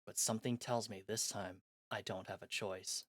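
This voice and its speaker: A man streaming a visual novel, reading its text aloud and chatting between scenes in an animated, self-mocking, jokey way. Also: lowish voice, moderate pace, no echo, studio quality. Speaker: game streamer. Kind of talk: live-stream chat, reading a game aloud.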